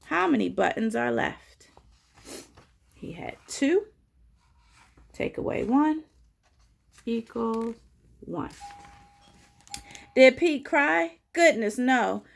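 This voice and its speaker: A woman reading a children's story aloud as a teacher, expressively, pausing to put simple coaxing questions to young listeners. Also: on the high side, animated, gravelly.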